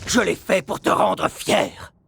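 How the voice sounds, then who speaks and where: A man's voice: high voice, slow pace, gravelly, very noisy, heavily acted. A man playing a bitter, menacing snow leopard villain in a dramatic video game, demanding and intense. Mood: bitter, wounded. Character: furious, embittered adult male snow leopard villain.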